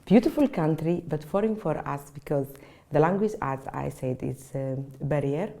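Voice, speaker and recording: Low, gravelly woman from Sudan, halting. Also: lively intonation, mellow. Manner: halting, with many fillers and restarts. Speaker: community association founder. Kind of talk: unscripted rally speech. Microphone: video call.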